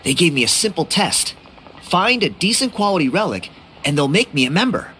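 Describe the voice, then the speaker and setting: A man's voice: a little high, very animated, a little fast, sharp tone, a little hiss or hum. An actor playing an upbeat young tribesman in a game, chatty, casual and earnest. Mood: proud, enthusiastic. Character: upbeat young tribesman, eager amateur relic hunter. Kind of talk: English game voice acting. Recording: studio voice over game music.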